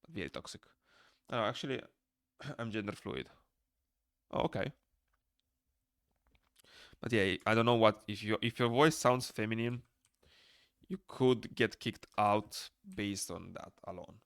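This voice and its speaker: A man's voice: lowish voice, unhurried, pauses often, fairly bright tone, no room sound, studio quality. A young man from Moldova with a Moldovan accent, chatting casually with his viewers while he draws on a live stream, reading their messages aloud and answering them. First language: Romanian. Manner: rambling, reads chat aloud and answers it. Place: small room indoors.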